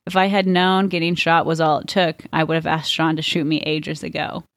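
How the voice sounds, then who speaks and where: A gentle, devoted young woman voiced by a female reader in a romantic story. She sounds soft and wistful, with some fry, recorded dry and close. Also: deep voice, flat delivery, moderate pace, no room sound. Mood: tender.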